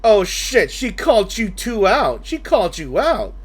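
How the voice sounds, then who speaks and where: A man's voice: high-pitched, big pitch swings, a little slow, husky. A man giving casual playthrough commentary on a game, blunt and teasing, swearing casually. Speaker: gaming streamer.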